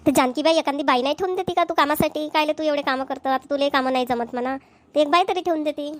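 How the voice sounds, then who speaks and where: Woman playing a chatty village sister, high-pitched. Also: quick pace, lightly acted. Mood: concerned. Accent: Vidarbha Marathi. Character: chatty, concerned middle-aged village sister. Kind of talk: amateur cartoon dialogue.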